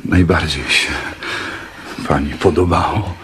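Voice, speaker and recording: Very slow, bashful male lodger. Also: extremely low pitch, steady tone, fairly bright tone, slight background noise, exaggerated voice. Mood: shy, earnest. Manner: halting, stammering, bashful. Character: shy, hesitant, kindly young male lodger. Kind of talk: radio drama acting.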